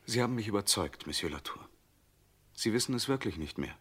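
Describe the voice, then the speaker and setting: An actor playing a calm, insinuating eyewitness in a crime radio drama, speaking in a measured, deliberate way. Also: male voice, lowish voice, breathy edge. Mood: grave, insinuating. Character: calm, insinuating male eyewitness Gachet confronting a suspect.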